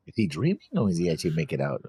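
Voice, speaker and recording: A man's voice: sits low, sing-song, racing, mellow, slightly rough, no room sound. A man co-hosting a reaction show, chatting casually as he reacts to what he watches.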